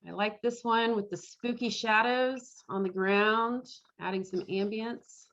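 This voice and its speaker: A woman with a mid-pitched voice runs a casual game as its host. She talks informally over a video call, and the sound is dull and lo-fi. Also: fairly even intonation, measured pace, a bit bright. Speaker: quiz host at an online fan club meeting.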